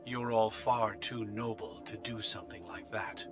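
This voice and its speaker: An actor voicing a cold, smug official in a game cutscene, speaking formally and with condescension. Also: male voice, on the low side, steady tone, measured pace, lightly acted. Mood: coolly dismissive. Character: cold, smug male official behind a sealed shelter. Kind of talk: scripted game cutscene acting. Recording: music behind the voice. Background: background music.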